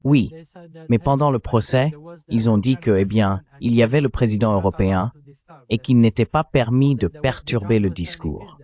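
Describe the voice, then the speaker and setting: A man being interviewed, answering in a calm, measured way, voiced over in French. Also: medium pitch. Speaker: interviewee, protester.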